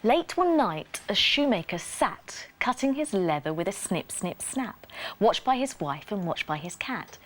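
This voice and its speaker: A woman presenting a scripted children's bedtime story in an expressive, sing-song rhyming delivery. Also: mid-range voice, measured pace.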